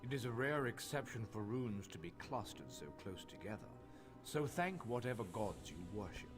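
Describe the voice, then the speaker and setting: Male master crafter, fantasy game dialogue, formal, measured, instructive, animated intonation, music clearly behind. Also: on the low side.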